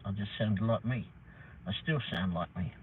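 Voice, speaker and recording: A man's voice: lowish voice, normal speed. A middle-aged man with an English accent, hosting a rambling, casual livestream chat with mild swearing. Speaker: livestream host, delivery driver. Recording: tinny phone-like audio with faint noise.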